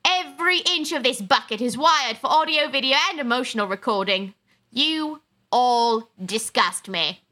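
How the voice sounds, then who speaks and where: A woman's voice: on the high side, expressive, moderate pace, pushed and bright. A woman playing a pompous, easily offended English countess who is a ship's computer, theatrical and indignant in a comic audio drama. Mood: disdainful. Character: haughty, easily offended bodiless ship-computer countess, snobbish and melodramatic.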